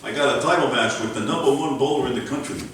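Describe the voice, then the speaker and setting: A man's voice: mid-range voice, quick pace, a bit bright, close to an everyday voice. A man playing a dim, cocky male pro bowler in a live old-time radio comedy, talking in street slang and mangled words. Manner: streetwise slang, garbled malapropisms, hesitant when flirting. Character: dim, swaggering male pro bowler, tough-guy boxer parody. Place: library meeting room, live show. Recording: very echoey, distant mic, room noise.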